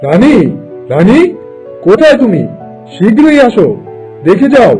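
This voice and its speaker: A man voicing a tender, excitable king in a cartoon fairy tale, speaking in a theatrical, wondering way. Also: sits high, unhurried, faint music behind. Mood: excited.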